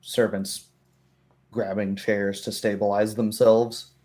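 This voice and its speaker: Slow, low-voiced man narrating. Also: little pitch movement, dark tone. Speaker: game master. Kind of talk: narrating a tabletop roleplaying game.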